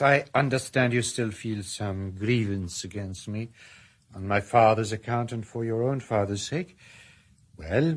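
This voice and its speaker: A man playing a dignified gentleman offering reparation in a radio drama, courteous and conciliatory. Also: medium pitch.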